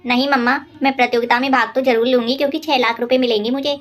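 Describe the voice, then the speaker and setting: A woman acts out a young neighbourhood woman in a cartoon moral story. She is polite and chatty, with a distinctly high voice. Music plays behind her as she explains things. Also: fairly even intonation, fast, close to an everyday voice. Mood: polite, assured.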